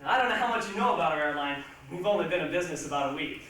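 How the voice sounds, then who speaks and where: Casting: folksy male airline captain, played by a man, breezy and hungover, lively pitch, heard far off in a big echo. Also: racing. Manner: breezy, rambling. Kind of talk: staged comic monologue. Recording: distant, echoey, noisy stage recording.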